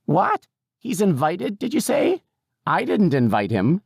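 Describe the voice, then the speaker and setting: Male reader as old woman, confused, indignant, loud, high-pitched, slow stubborn delivery, audiobook. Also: wildly swooping pitch, creaky, slightly rough, no room sound, clean studio sound, exaggerated voice. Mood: baffled, offended. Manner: loud, stubborn, drawn-out protests.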